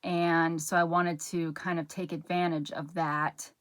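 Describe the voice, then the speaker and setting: A young woman with a low, soothing voice talks her way through an auction haul in a loose, rambling chat. She stops mid-sentence, circles back and muses aloud as she sorts each item. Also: little pitch movement, measured pace, dry recording, clean studio sound. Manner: rambling, chatty, self-interrupting while sorting items. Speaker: channel host. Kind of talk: casual haul vlog chat.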